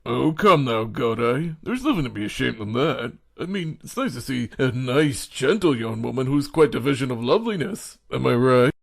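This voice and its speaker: A man playing a genial, teasing middle-aged ex-teacher in an amateur audio drama, with a measured, formal way of talking. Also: medium pitch, expressive, gravelly.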